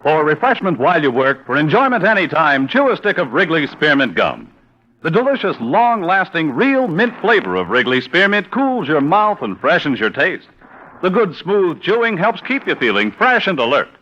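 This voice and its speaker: A man's voice: fairly high pitch, very animated, normal speed, bright, forceful tone. A man announcing a show and reading sponsor copy in mid-century radio advertising style. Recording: dull old radio recording, music behind.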